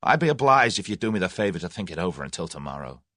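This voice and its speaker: A man voicing a brash, direct young American suitor in an audiobook, forceful and slangy. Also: low-pitched, sing-song, rapid-fire, no echo, studio quality, exaggerated voice. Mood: persistent. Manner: forceful, plain-spoken, slangy. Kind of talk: audiobook character voice.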